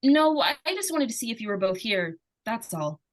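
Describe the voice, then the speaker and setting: A woman's voice: fairly high pitch, sing-song, normal speed, background noise. A woman playing a plucky, anxious, insistent little girl in an old-time radio suspense play. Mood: eager, polite.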